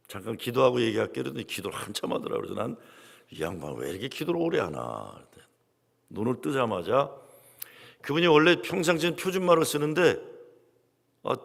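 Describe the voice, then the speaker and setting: Guest pastor, male, gravelly voice, swinging delivery, long church-hall reverb. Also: medium pitch. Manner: lively storytelling, quoting congregants, dramatic drops to near whispers. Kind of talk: revival sermon with storytelling. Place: large church sanctuary.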